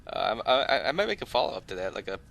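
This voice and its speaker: Bright-voiced low-pitched man casually commentating. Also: sing-song, fast, creaky, a little hiss or hum. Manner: rambling, conversational, easygoing. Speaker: host of a gaming video channel. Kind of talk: casual unscripted gameplay commentary, tutorial.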